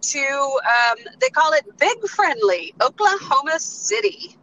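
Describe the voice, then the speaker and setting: A woman's voice: high-pitched, big pitch swings, slow pace, a loud crowd, poor audio. A woman hosting a casual road-trip chat, upbeat and teasing with her co-host. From US East. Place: in a car.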